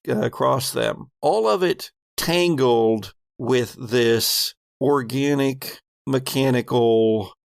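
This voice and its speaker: A man working as game master, narrating a tabletop game in a measured, descriptive, pausing way. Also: medium pitch, creaky, no room sound, clean studio sound.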